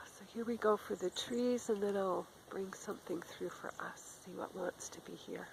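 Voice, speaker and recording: A middle-aged woman with a cold, speaking in a raspy, often whispered voice, giving a gentle spiritual talk outdoors in the woods. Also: medium pitch, normal speed, noisy background. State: has a cold. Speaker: spiritual channeler. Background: faint outdoor ambience with a crow.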